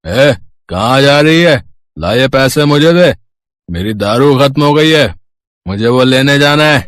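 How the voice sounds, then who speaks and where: A man voicing a cruel drunken father in a cartoon story, gruff and contemptuous. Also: mid-range voice, lively intonation, unhurried, a bit bright, no room sound. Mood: harsh.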